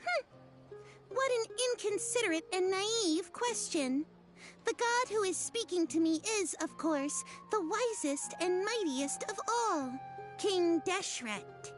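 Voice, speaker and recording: A woman's voice: very high voice, expressive, takes it slowly, full character voice. A woman playing a haughty, theatrical female fortune-teller who claims to speak for a god in a video game. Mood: haughty, indignant. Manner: theatrical, imperious, hesitant with ums. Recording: music behind the voice.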